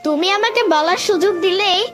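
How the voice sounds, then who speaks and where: A performer voicing a playful, pleading little schoolboy in an animated cartoon, chirpy and insistent. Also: extremely high pitch, brisk. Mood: protesting. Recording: music behind the voice. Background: background music.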